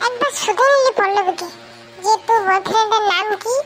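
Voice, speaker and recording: A woman playing a bossy young woman in a comedy cartoon, with a childlike squeaky voice, an exaggerated scolding manner. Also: a little fast, no room sound, faint music behind. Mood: chatty. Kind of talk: Bangla comedy cartoon dubbing. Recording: faint background noise.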